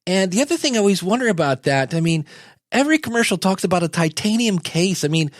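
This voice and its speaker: Guy doing a laid-back modern messiah on a comedy podcast, high voice, folksy and kinda halting, dry sound. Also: big pitch swings, no echo. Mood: casual explaining. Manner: hesitant, folksy, conversational. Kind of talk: casual comedic interview chat.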